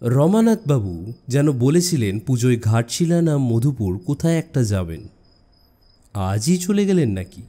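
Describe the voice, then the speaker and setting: Slow, expressive male suspense storyteller. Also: medium pitch. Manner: expressive, deliberate pauses. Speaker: audiobook narrator. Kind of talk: dramatic suspense story narration.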